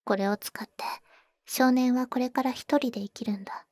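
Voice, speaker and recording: Woman acting a husky, whispery vampire big sister. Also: fairly high pitch, fairly even intonation, normal speed, no room sound, natural voice. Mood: gentle, wistful. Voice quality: soft, breathy, often whispering. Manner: husky, cool, boyish speech. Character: tender, protective older-sister vampire woman, bold and sorrowful. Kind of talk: Japanese ASMR audio roleplay. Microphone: close studio microphone, dry.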